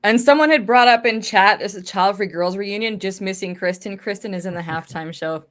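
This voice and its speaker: A woman's voice: mid-range voice, a little fast, a bit bright. A woman hosting an online panel over a video call, upbeat and introducing speakers. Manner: upbeat, laughs between remarks. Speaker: host, moderator.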